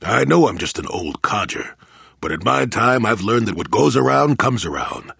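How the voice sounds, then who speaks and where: A crotchety old male hermit from a sci-fi video game, low and gravelly. He grumbles in a folksy, sardonic way, his pitch rising and dropping freely as he needles whoever is listening. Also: big pitch swings, moderate pace, fairly bright tone, dry recording, clean studio sound, heavily acted. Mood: reflective, wry. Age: older. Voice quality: gravelly, husky. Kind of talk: video game character acting.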